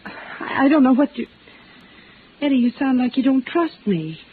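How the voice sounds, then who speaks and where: Scheming dramatic dame in old radio mystery, highish voice, tinny faint hiss. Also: female voice, sing-song, normal speed. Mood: uneasy. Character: scheming, catty showgirl woman with melodramatic flair. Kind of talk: old-time radio crime drama acting. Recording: thin, slightly noisy old radio recording.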